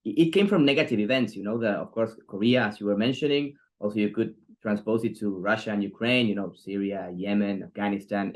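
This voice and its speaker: Low-voiced male interviewer groping for words. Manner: searching for words, many restarts, loose metaphors. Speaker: interview host. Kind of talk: interview questions.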